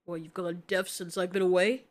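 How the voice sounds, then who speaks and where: A woman voicing a callous male giant reptilian monster in an audio drama, deadpan, dismissive and sarcastic. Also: fairly low pitch, moderate pace, a little hiss or hum, close to an everyday voice. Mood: unbothered, dry. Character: cocky, callous male giant reptilian kaiju, coolly menacing.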